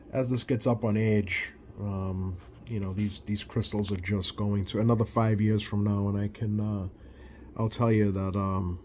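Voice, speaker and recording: A man giving a casual hands-on repair demonstration at his workbench, talking haltingly and pausing often as he works. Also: fairly low pitch, a little mellow, slight background noise. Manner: halting, hesitant, pausing often while working. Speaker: radio repair hobbyist presenting a bench video. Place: indoors at a workbench.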